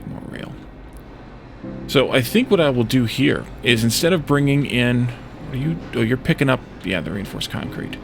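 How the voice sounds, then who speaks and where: Gravelly-voiced man thinking aloud over a game. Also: mid-range voice, lively intonation. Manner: thinking aloud, hesitant, halting. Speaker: let's play host. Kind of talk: casual gameplay commentary. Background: music in the background.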